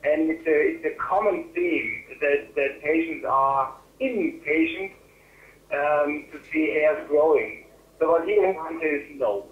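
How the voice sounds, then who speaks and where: A middle-aged man with a German accent answering medical questions as a doctor, in a halting way that repeats and rephrases words, over a phone line. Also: on the high side. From Germany or German-speaking Europe. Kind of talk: medical Q&A on a webinar. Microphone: phone call. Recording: roomy, band-limited phone line.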